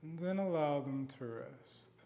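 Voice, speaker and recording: Gravelly-edged man guiding a meditation. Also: fairly high pitch. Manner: gentle, unhurried, with long pauses. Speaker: meditation guide. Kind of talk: guided body scan meditation.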